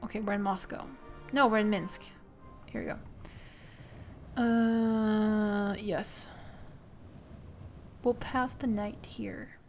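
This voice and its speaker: A woman's voice: medium pitch, fairly even intonation, very slow, long pauses, darkish tone, soft background music. A woman streaming a game, chatting casually, giggling and reading the game's text aloud with asides. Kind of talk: casual live-stream chat while playing a game.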